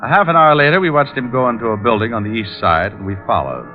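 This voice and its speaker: A man's voice: mid-range voice, lively intonation, fast talker, music in the background, natural voice. An actor playing a cool, wry male private detective in an old-time radio drama, breezy and probing. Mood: brisk narrating. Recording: muffled vintage radio recording.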